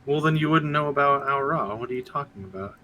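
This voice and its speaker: A man's voice: medium pitch, fast, bright, forceful tone, no echo. A man talking casually as a player in a tabletop role-playing game. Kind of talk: casual chat. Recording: clear background noise.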